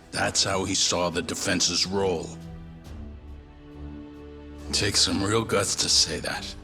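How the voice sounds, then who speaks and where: Gravelly, forceful male mentor. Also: fairly low pitch, full character voice. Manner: blunt, insistent, admonishing. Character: stern, protective male older mentor lawyer. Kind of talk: video game cutscene acting.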